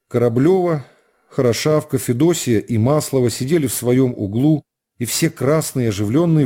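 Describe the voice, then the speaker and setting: A man narrating a literary work for radio, in a measured and expressive storytelling manner. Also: mid-range voice.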